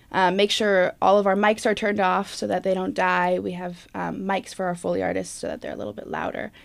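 A woman's voice: mid-range voice, vocal fry. A young woman, a stage manager, talking casually in an interview about her work.

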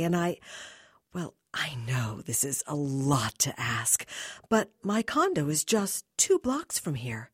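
A coaxing, elegant mature woman who drops to low, breathy whispers as she pleads, put on by a woman reading a story. Her voice is husky and lively in pitch. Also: no room sound, clean studio sound, exaggerated voice.

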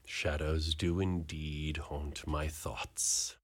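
A man playing a brooding, jealous warrior husband in an amateur Shakespearean radio drama, with a slow, declamatory delivery. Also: very deep voice, flat delivery, exaggerated voice. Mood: brooding, ominous.

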